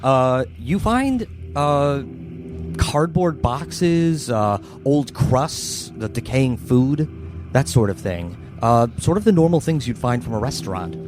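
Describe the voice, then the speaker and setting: A man running a tabletop game as game master, narrating scenes in a measured, descriptive way and refereeing the rules. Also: medium pitch, lively intonation, over music.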